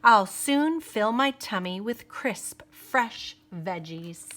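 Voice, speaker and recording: A woman's voice: mid-range voice, lively intonation, takes it slowly, a bit bright. A woman reading aloud, voicing a fussy male gardener with emphatic, rising exclamations. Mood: cheerful. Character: fussy male gardener, grows angry at bunnies.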